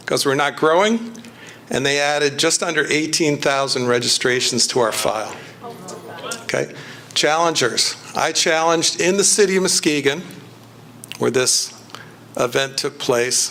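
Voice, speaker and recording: A man's voice: a little high, bright, forceful tone. A man speaking to a meeting in a blunt, matter-of-fact way about challenging ballots. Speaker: former county commissioner candidate, poll challenger. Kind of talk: casual talk to a meeting.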